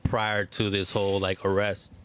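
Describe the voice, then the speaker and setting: A man's voice: lowish voice, completely flat delivery, normal speed, a bit bright. A man co-hosting a podcast in a casual, slangy, profane group chat. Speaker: podcast co-host. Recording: noticeable background noise and room ambience.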